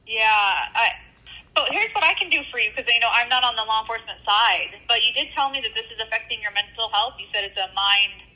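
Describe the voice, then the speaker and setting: A woman working a helpline, speaking gently and hesitantly with many fillers on a phone call. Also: fairly high pitch, animated, fairly quick. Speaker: helpline worker.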